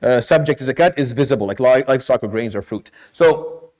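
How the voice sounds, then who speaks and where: A man's voice: mid-range voice, brisk, a little mellow. A man from Syria giving an informal lecture on Islamic law, blunt and emphatic, mixing Arabic terms into his English. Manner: blunt, emphatic, explains with role-played questions and answers.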